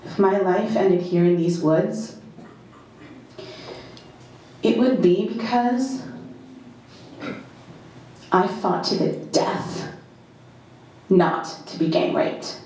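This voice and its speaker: A woman performing a first-person dramatic monologue at a live reading, in short, deliberate, halting phrases with emphatic repetition. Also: mid-range voice, a little mellow. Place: indoor live performance in a club. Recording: very echoey live venue.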